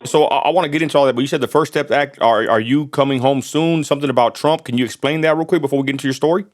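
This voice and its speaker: A man's voice: mid-range voice, rapid-fire. An American man hosting a casual, slangy interview, often stammering and repeating words. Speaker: host, interviewer.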